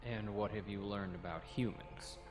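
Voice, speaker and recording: Male actor as junior navy translator in audio comic. Lowish, respectful, guarded, a little defensive; light music bed. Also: steady tone, normal speed, natural voice, poor audio. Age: young adult. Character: young male naval cadet translator, dutiful but defensive. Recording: faint music behind the voice.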